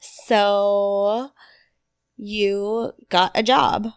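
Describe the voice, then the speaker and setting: A young woman with a raspy, worn voice chatting casually and animatedly as a podcast co-host. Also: medium pitch, steady tone, very slow, no echo, clean studio sound. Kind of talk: casual chat.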